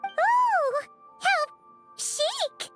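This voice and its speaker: A tiny fairy companion from a video game, extremely high and squeaky. The childlike voice swoops about, nosy, perky and easily delighted. Music plays clearly behind it. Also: female voice, animated, drawn-out words, a bit bright, exaggerated voice. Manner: exclamatory, gushing. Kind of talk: video game character dialogue.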